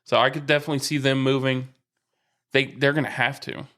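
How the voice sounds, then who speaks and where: A man's voice: mid-range voice, little pitch movement, fairly quick, fairly bright tone. A man co-hosting a casual podcast, talking sports in an easygoing, teasing way. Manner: easygoing, teasing, uses fillers. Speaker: podcast co-host.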